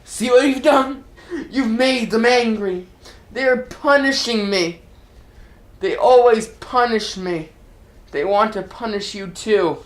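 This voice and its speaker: A woman performing a dramatic reading as a tormented, despairing figure possessed by demons, swinging between hushed and loud pleading. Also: a little high, slow. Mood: fearful, pleading. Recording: roomy echo, music underneath.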